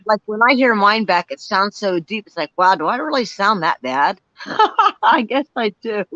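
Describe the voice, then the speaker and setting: A woman's voice: mid-range voice, lively intonation, moderate pace, bright, forceful tone, no room sound. A woman in her sixties, co-hosting a live paranormal stream, talking emphatically to the audience. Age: 60s. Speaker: co-host. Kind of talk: live-stream ghost hunt chat. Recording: dry, dull lo-fi stream audio.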